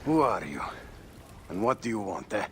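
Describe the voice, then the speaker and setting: A man's voice: on the low side, big pitch swings, normal speed, fairly bright tone. An actor playing a wary, hunted Egyptian rebel and family man, grave and guarded, in a video game cutscene. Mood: suspicious. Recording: clearly noisy outdoor-style ambience.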